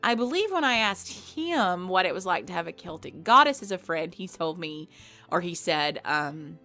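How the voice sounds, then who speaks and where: A woman's voice: on the low side, lively intonation, moderate pace, sharp tone, soft background music. A woman hosting her channel's subscriber Q&A, chatty, playful and self-deprecating. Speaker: ASMR channel host. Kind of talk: casual subscriber Q&A.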